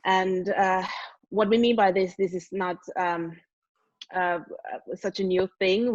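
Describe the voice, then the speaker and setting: A woman's voice: fairly low pitch, darkish tone, dry recording. A woman in her thirties presenting an online design workshop over a video call, casual and full of fillers. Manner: casual, filler-heavy, trailing off. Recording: dull, dry video call audio.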